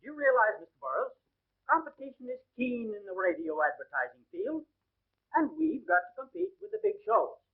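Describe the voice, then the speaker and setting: A harried advertising executive in a vintage 1930s film, brisk and businesslike. His high voice moves in lively swings over a thin, telephone-like soundtrack. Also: gravelly, exaggerated voice. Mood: defensive.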